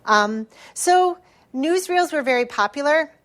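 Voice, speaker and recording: A woman's voice: sits high, expressive, unhurried, fairly bright tone. An American woman lecturing as a professor in a conversational, explanatory way, often checking in with short tags as she goes.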